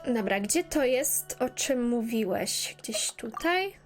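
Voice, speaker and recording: Young Polish female gamer, high voice, casual reactive commentary over clearly audible music. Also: lively intonation, a little slow. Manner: informal, reacts aloud to the game. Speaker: gaming video creator. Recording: music playing behind the voice.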